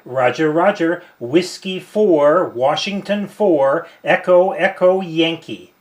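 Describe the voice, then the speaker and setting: A man's voice: fairly high pitch, slow pace. A man from the United States speaking casually as an amateur radio operator, commenting and giving phonetic call signs. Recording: faint background radio static.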